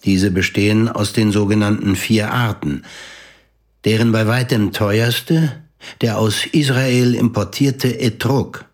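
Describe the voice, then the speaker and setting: An actor playing a composed literary narrator, delivering scripted narration in a measured, formal way for a radio drama. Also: male voice, fairly low pitch, steady tone.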